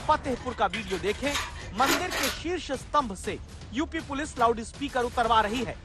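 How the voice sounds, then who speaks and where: Woman narrating news over loud music. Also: a little high, lively intonation, normal speed. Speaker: news presenter. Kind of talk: dramatic scripted news narration. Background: loud music bed.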